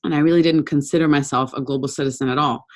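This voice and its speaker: A young woman from Brazil giving an informal webinar talk over a video call, conversational and relaxed with frequent ums. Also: deep voice, fast talker. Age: young adult. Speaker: webinar presenter.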